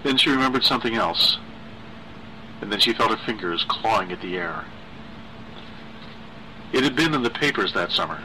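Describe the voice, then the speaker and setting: A man narrates a horror story in a dramatic style. His voice sits a little low but is strikingly bright and forceful. A faint noise runs behind the recording. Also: lively intonation, moderate pace, long pauses, rough recording.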